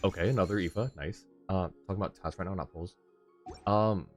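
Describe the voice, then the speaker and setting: Deep-voiced man livestreaming a game. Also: fairly even intonation, a little fast. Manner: muttering, thinking aloud in short bursts. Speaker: game live streamer. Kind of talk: casual livestream gameplay commentary, reacting and talking to chat. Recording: game music behind the voice. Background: video game music.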